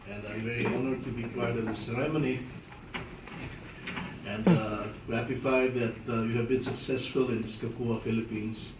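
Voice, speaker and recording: A man speaking as a consul general, giving formal thanks with many hesitant filler pauses. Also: mid-range voice, steady tone, heavy background noise. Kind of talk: formal thank-you remarks. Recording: echoey room, distant mic.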